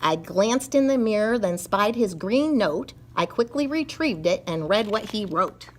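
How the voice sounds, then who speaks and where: A woman reading a rhyming picture book to children with expressive, dramatic, measured storytelling. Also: mid-range voice, slight background noise.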